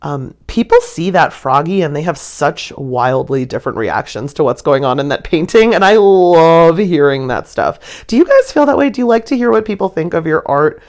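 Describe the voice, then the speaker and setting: A woman's voice: low voice, animated, normal speed, hardly any pauses, darkish tone. A woman telling stories and chatting casually to viewers in a playful, gushing way, often with a giggle in her words. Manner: giggly, laughs through sentences. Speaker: artist presenting own painting video. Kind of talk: casual storytelling chat, voice-over to viewers.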